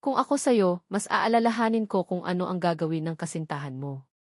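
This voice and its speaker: A woman voicing a cold, taunting rich male villain in an audio drama, soft-spoken and calculating with veiled menace. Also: medium pitch, fairly quick, no echo, clean studio sound, lightly acted.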